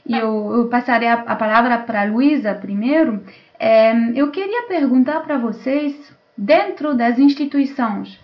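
A woman with a fairly high voice moderates a panel in a semi-formal register. Her delivery is halting, with small self-corrections. The sound is slightly dull and lo-fi, as on an online call. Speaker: host and moderator. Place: remote video call.

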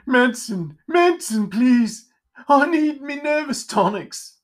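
Storybook pumpkinhead: high, wailing, nervous wreck, melodramatic and self-pitying. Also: very animated, slow pace, full character voice. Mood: pleading, nervous. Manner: wailing, moaning, melodramatic outbursts. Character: trembling, whiny, self-pitying pumpkinhead creature prone to fits.

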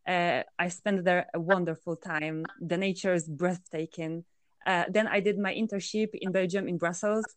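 Interview guest: woman from Poland, crisp clear tone, hesitant and filler-heavy. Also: lowish voice, steady tone, normal speed, no room sound. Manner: hesitant, many fillers and restarts. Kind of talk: conversational interview answers.

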